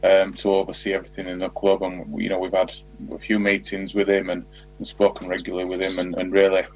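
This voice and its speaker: A man speaking as a football manager in an interview, giving measured, explanatory answers in a conversational way. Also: low voice, monotone, a little mellow, poor audio.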